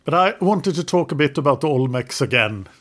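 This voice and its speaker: A man's voice: a little high, animated, fairly quick, a bit bright. A man hosting a history podcast, leading the talk with long explanations and light teasing. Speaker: podcast host. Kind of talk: explaining history in casual chat.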